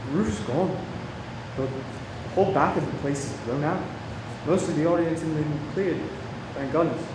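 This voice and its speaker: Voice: medium pitch, normal speed, lightly acted. An actor playing an earnest, anxious Scotland Yard agent in a radio play. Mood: shaken. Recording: very echoey room, clearly noisy.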